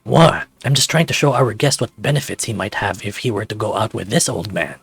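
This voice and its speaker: Man doing a low, gruff dragon dad. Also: a little fast, fairly bright tone, dry recording, soft background music, full character voice. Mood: playful, smug.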